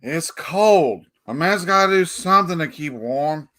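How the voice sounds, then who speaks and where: An actor playing a drunken, grumbling department-store parade Santa in a radio play, tipsy and defiant. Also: male voice, a little high, animated, unhurried, fairly bright tone. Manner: tipsy, sing-song, grumbling. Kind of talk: 1940s-style radio play acting.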